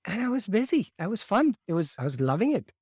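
A man in his fifties, raised in India, telling his story as a podcast guest in a lively way, often acting out quotes and repeating words as he starts. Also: high voice, quick pace, husky, dry recording, studio quality. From born in Morocco, raised in India. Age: 50s. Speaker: guest, laughter yoga teacher.

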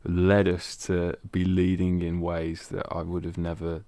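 Deep-voiced young man, measured and thoughtful. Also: completely flat delivery, dark tone, dry recording. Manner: thoughtful, measured, with frequent ums. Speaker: worship leader. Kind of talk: casual chat.

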